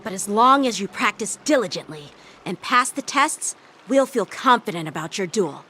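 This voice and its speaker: An actress playing a polite, composed young sword master with a gently teasing streak in an anime-style video game, courteous and measured in manner. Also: female voice, mid-range voice, lively intonation, slight background noise. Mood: reassuring.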